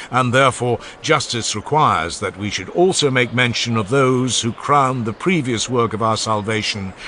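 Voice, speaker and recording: A man's voice: fairly low pitch, sharp tone, slight background noise. A man reading a classical funeral oration as a dramatized audiobook, in a solemn, declamatory style with strong rises and falls of emphasis. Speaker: audiobook narrator.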